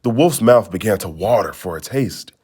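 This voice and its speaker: A man reading a children's storybook aloud in expressive narration. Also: on the low side, moderate pace, husky. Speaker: storybook reader.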